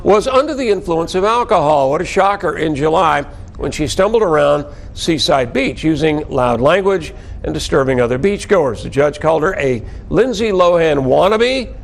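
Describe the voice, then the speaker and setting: A man's voice: fairly high pitch, expressive, normal speed, gravelly. An American man anchoring scripted television news with a wry delivery and dry asides.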